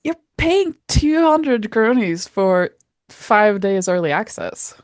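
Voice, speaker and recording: A woman's voice: mid-range voice, sing-song, slow pace, dry recording, studio quality. A woman co-hosting a gaming podcast, chatting informally with blunt, exasperated emphasis. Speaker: podcast co-host. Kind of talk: casual podcast chat about gaming.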